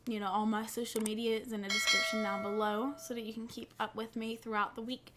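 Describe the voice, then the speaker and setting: Woman, stuffy nose, casual vlog chat, gushing and repetitive, slightly high voice. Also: a bit bright. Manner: gushing, repeats herself. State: stuffy from a cold. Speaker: cross-stitch vlogger. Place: home couch.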